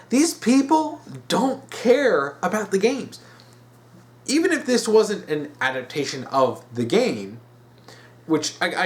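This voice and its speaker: Male commentator, slightly high voice, very animated intonation, blunt and exasperated casual rant delivery. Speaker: online commentator.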